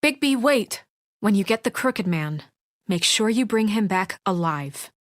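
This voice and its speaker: A woman's voice: medium pitch, lively intonation, a little slow, no echo, studio quality, natural voice. An actress playing an earnest, commanding woman in authority in a dramatic video game. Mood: urgent, insistent.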